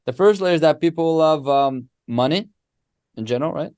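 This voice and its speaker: A man, a podcast guest who explains ideas through animated, restart-filled stories. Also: a little high, normal speed, smooth, no room sound. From Israel. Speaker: guest, company co-founder. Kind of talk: casual podcast interview.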